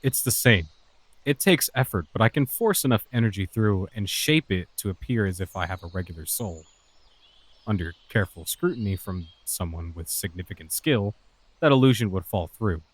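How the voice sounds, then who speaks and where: A man's voice: on the low side, animated, moderate pace, dry recording. A man playing a cynical, sarcastic human outcast in a fantasy audio drama. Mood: matter-of-fact. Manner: sarcastic, mocking.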